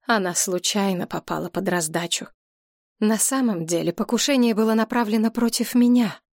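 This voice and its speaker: A woman's voice: mid-range voice, normal speed, dry recording, studio quality, natural voice. A woman voicing a fierce young woman who accuses relentlessly in a heated, cutting voice. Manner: heated, hissing through teeth when quiet.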